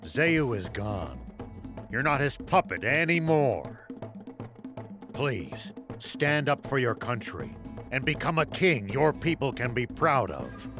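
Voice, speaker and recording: An actor playing a principled veteran general in a video game, earnest, direct and persuasive. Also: male voice, medium pitch, very animated, takes it slowly. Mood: stern, persuasive. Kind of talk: dramatic video game voice acting. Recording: prominent music behind the voice.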